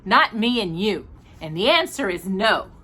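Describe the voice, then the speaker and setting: Woman acting a bossy, whiny older brother, bright. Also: medium pitch, sing-song, a little slow, slightly rough, exaggerated voice. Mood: dismissive. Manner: whiny, scornful, muttering.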